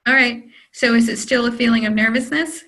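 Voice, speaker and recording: A woman practitioner guiding a client through an EFT tapping session, giving calm phrases for her to repeat. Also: on the high side, fairly quick.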